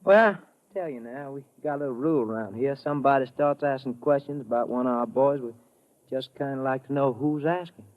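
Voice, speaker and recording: A man's voice: medium pitch, fairly quick, lightly acted. A man acting an easygoing army sergeant with a folksy Southern US drawl in an old radio drama. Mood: helpful, matter-of-fact. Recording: muffled old radio recording.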